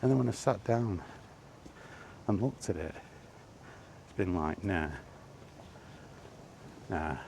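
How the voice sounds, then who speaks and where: A man's voice: sits low, lively intonation, slow, gravelly, long pauses, darkish tone, slight background noise. A man and gaming vlogger giving a blunt, emphatic opinion rant while walking outdoors by allotments.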